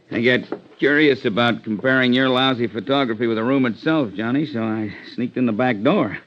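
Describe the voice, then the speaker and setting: An actor playing an excitable man in a 1950s radio crime drama. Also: medium pitch, normal speed, close to an everyday voice. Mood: sheepish. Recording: dull, faintly noisy vintage radio recording.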